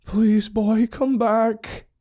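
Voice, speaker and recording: A narrator doing a frightened man, strained and high. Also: steady tone, very slow, dark tone. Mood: desperate pleading. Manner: urgent, trembling, breathy calls. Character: frightened, desperate man calling his lost dog.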